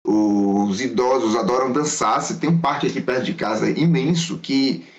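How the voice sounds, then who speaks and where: Homem falando em áudio abafado. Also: male voice, medium pitch, normal speed. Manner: rambling, storytelling, self-correcting. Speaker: guest, Mandarin school teacher. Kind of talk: casual conversational answers. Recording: dull lo-fi audio.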